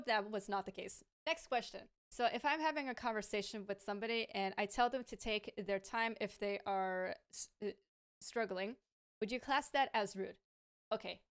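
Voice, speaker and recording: A woman's voice: on the high side, normal speed, a bit bright, clean tone. A woman streamer answering viewer questions in a casual, frank way, stammering often as she repeats sounds and restarts words. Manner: thoughtful and frank, with light laughs.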